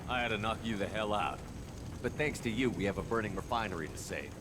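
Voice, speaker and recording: An actor playing a principled, firm military commander in a video game, speaking plainly over loud action noise. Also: male voice, fairly low pitch, expressive, fast talker, natural voice. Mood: stern. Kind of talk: cinematic video game dialogue.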